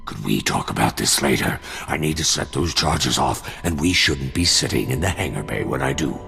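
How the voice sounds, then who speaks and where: An actor playing an easygoing, wisecracking demolitions soldier in a video game, with a gravelly voice and a wry, laid-back manner. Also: male voice, a bass voice, animated, normal speed, big-room sound, over music, full character voice. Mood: dry urgency. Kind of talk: video game cutscene acting.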